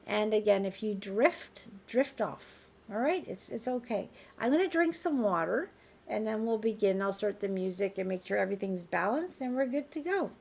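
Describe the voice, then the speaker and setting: A woman with a raspy voice hosting a live group healing call. She speaks in a gentle, reassuring and informal way. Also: medium pitch, animated, moderate pace. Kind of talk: live-streamed conversational healing talk. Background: faint background music.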